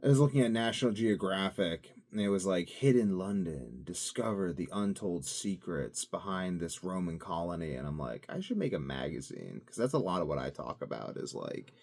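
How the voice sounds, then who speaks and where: A young man talking casually to camera, wandering from thought to thought and swinging between loud bursts and mumbled asides. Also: deep voice, big pitch swings, normal speed, few pauses. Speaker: vlogger. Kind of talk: casual rambling monologue.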